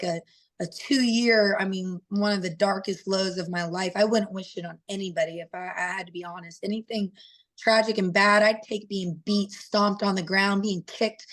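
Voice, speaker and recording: A woman in her thirties with a raspy voice, a fighter telling her story in an interview in a candid, earnest way with many fillers. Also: mid-range voice. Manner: open and earnest, with frequent fillers. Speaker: interview guest, professional fighter.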